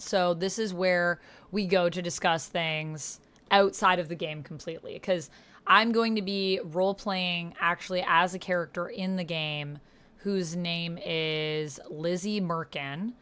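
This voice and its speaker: Low, clear-voiced woman chatting through a game. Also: steady tone, measured pace, a bit bright. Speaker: host and player of an online text roleplaying game. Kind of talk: casual explanatory chat while playing, with some reading aloud.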